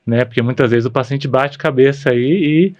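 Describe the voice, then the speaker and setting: A man with a Brazilian accent speaks as a medical specialist in a podcast conversation. His voice is mid-pitched for a man, and he explains things in an informal, practical way. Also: moderate pace, darkish tone, dull audio. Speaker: podcast guest, ENT doctor.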